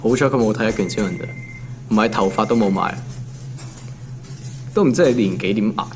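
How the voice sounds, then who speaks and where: A man's voice: on the low side, fairly quick, slight background noise, natural voice. An actor with a Hong Kong accent playing an ordinary office worker in his twenties, wry and colloquial, in a naturalistic audio drama. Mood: teasing, casual. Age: 20s. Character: ordinary young male office worker, teasing and put-upon.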